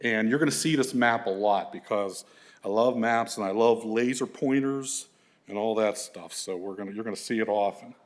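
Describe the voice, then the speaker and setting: A man's voice: lowish voice, normal speed. A middle-aged man, presenting slides to an audience in a loose, conversational way with lots of fillers and restarts. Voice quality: big voice. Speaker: environmental consultant presenting to an audience.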